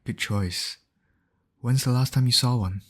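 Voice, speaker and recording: A man's voice: mid-range voice, measured pace, fairly bright tone, breathy edge. A man acting a caring field medic in an ASMR roleplay, speaking directly and reassuringly to an injured patient. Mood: calm, gentle. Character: male field medic, calm, caring, urgent under pressure.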